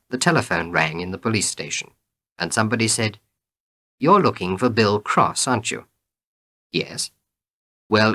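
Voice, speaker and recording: A man's voice: on the low side, pushed and bright. A man reading short tales as scripted narration, in an orderly storytelling manner. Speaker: storybook reader.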